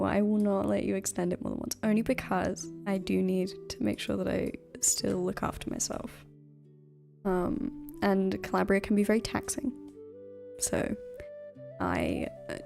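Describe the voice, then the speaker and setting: British woman, relaxed stream co-host, creaky voice, soft music bed. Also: mid-range voice. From United Kingdom. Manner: chatty, laughs often, lots of ums. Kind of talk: casual livestream chat.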